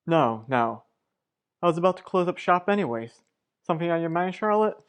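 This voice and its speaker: Woman playing a low-voiced, steady radio husband. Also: brisk, clear, resonant tone, close to an everyday voice. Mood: kindly, probing. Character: mild, steady, kindly husband devoted to work. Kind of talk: old-time radio soap opera acting.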